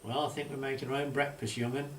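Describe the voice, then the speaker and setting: A man's voice: medium pitch, level tone, quick pace, pitched above the performer's own voice, close to an everyday voice. A man voicing a kindly old fisherman grandfather in a storybook, speaking plainly in homely dialect. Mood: homely, caring. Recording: distant mic, roomy echo, faint hiss.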